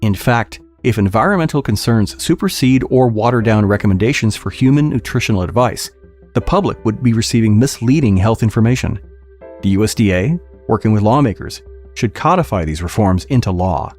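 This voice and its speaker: A man's voice: on the low side, lively intonation, a little fast, a little mellow, faint music behind. A man reading a formal policy document aloud as a scripted podcast narration.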